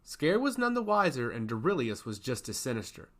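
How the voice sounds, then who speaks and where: A man's voice: medium pitch, big pitch swings, normal speed, a bit bright. A man reading scripted fantasy fiction as a storyteller. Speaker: narrator.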